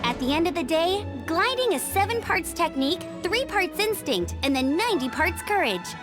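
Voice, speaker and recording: An upbeat, daring young woman from a video game, with a very high, lively voice. She is chatty and eager, like someone who loves a thrill. Music plays clearly behind her. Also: moderate pace. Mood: confident, eager. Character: bubbly, bold young woman, a daring glider champion. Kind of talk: animated video game voice acting.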